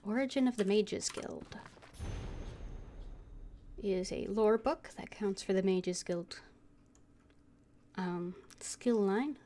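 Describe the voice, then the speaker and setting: Low-key woman streaming a video game. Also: slow, pauses often, dark tone, slight background noise. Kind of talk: casual game stream, reading game text aloud. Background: game music, keyboard typing.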